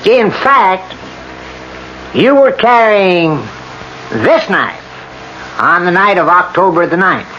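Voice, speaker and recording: An actor playing a dry, methodical lawyer who questions with pointed skepticism in a radio drama. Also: male voice, sits high, expressive, takes it slowly, pauses often, pushed and bright, full character voice. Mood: measured skepticism. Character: dry, methodical male lawyer cross-examining a witness. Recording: muffled, noisy old radio broadcast.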